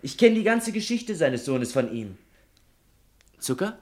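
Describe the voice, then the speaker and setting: Earnest young pupil, animated pitch. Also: male voice, a little slow. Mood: earnest, insistent. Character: clever, earnest, evasive male pupil with humanist schooling. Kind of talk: German radio play acting.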